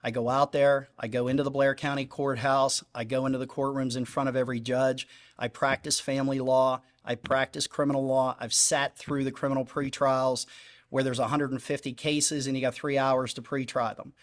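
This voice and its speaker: A man's voice: medium pitch, flat delivery, normal speed. Earnest candidate man, emphatic repeats. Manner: earnest, emphatic, repetitive phrasing. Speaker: judicial candidate. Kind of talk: candidate forum statement. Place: public forum hall.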